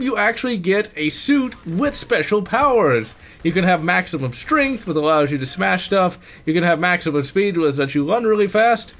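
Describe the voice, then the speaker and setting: High-voiced man reviewing games, very animated. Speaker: video game reviewer. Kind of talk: scripted game review voice-over.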